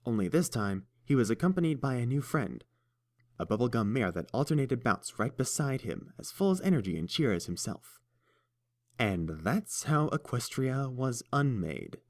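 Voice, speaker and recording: A man narrating a fan fiction audiobook in a steady, measured storytelling voice. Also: medium pitch.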